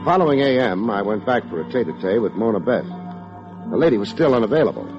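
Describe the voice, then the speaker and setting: An actor playing a wry, wordy hard-boiled private detective with dry sardonic delivery, in old-time radio drama style. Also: male voice, lowish voice, sing-song, quick pace. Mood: brisk, sardonic. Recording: muffled old radio recording with music.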